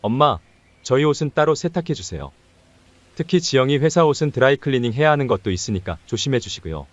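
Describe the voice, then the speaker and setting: A man's voice: medium pitch, lively intonation, fast, dry recording, lo-fi sound, close to an everyday voice. A man playing an inconsiderate grown son talking to his mother in an audio story. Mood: instructing. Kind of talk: audio story drama dialogue.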